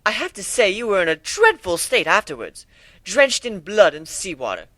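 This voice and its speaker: A man's voice: high-pitched, very animated, moderate pace, bright, forceful tone, no echo, voice pushed up, heavily acted. A man voicing a refined, fussy lady pony with a proper, theatrical manner in a cartoon fan story. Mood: concerned.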